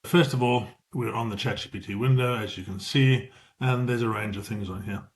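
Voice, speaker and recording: A man's voice: medium pitch, steady tone, moderate pace. A middle-aged man with a South African accent, casually walking viewers through a tutorial and correcting himself as he goes. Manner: informal, explanatory, self-correcting as he talks. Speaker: tutorial presenter. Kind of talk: casual screen-share tutorial.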